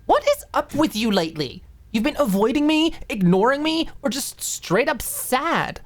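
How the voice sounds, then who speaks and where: A woman's voice: high-pitched, very animated, moderate pace, voice pushed up. A woman voicing a blunt but caring young woman in an audiobook drama, talking casually and insistently to her friend. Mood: exasperated, insistent. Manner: casual, emphatic, insistent, uses filler "like".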